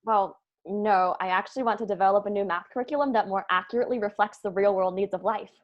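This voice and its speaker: A woman's voice: medium pitch, steady tone, quick pace, muffled recording, natural voice. A woman playing a brainy, earnest young college student in a comedy table read.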